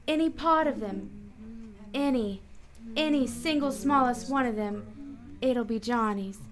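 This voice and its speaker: Lady doing a needy heartbroken wife in a radio detective play, high pitched, very dramatic pleading. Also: measured pace, natural voice. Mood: wistful pleading. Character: pleading, sentimental, distressed wife, a detective's client. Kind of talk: melodramatic radio drama acting.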